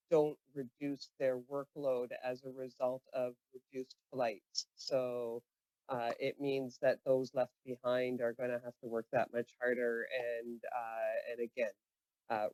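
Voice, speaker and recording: A man giving earnest, measured testimony as a union representative. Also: medium pitch, level tone, a little mellow, no room sound, low-quality recording. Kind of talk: formal spoken testimony.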